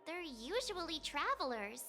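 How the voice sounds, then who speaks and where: A woman voicing a small, childlike game mascot creature with a squeaky voice, chatty, blunt and nosy. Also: sing-song, a little slow, pushed and bright, full character voice. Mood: puzzled. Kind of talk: video game character dialogue. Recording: music behind the voice.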